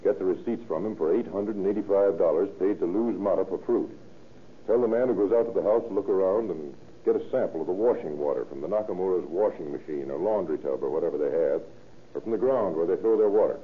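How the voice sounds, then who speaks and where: An actor playing a brisk, authoritative, skeptical American police detective in an old-time radio drama, with a dry manner. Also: male voice, sits low, natural voice. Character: hard-boiled, skeptical male police detective in charge. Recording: tinny old radio recording.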